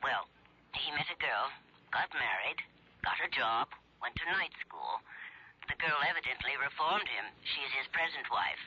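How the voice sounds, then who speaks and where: A brisk informant in an old radio mystery. His voice is very sharp and forceful, his delivery clipped and factual, on a dull vintage recording. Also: medium pitch, animated, husky, full character voice. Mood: matter-of-fact. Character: brisk, matter-of-fact male informant giving a report. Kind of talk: 1970s radio drama acting.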